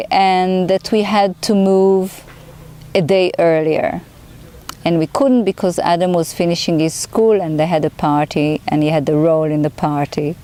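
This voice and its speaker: A woman with an Israeli accent tells a story in a documentary interview. Her voice is on the low side, with a dark, relaxed tone. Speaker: youth group leader.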